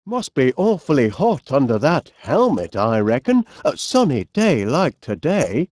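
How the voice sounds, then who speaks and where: A man voicing a genial, polite, eccentric middle-aged inventor with a Northern English accent in an animated comedy game. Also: medium pitch, no echo, clean studio sound. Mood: genial, chatty. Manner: polite, quaint British turns of phrase, drawn-out words. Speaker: video game voice actor.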